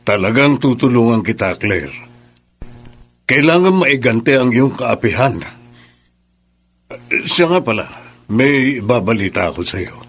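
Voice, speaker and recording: Radio drama casting: protective, indignant attorney, mid-pitched husky voice, emphatic delivery. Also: male voice, lively intonation, a little slow, long pauses, faint music behind. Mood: determined. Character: protective, indignant male lawyer avenging a client. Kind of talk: Filipino radio drama acting.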